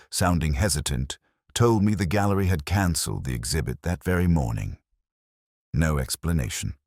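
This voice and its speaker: A man narrating a scripted first-person detective mystery as a reflective, world-weary inspector, with a measured, brooding literary delivery. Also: rumbling low, darkish tone, no echo, clean studio sound.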